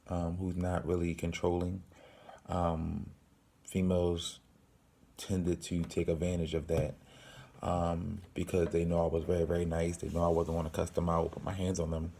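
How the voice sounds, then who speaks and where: A young man in his twenties with a very low, gravelly voice and a dark, mellow tone. He speaks flatly and earnestly, pausing often with ums as he answers viewer questions for his channel. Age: 20s. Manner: slow, halting, many ums, earnest. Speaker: channel host. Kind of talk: casual Q and A to camera.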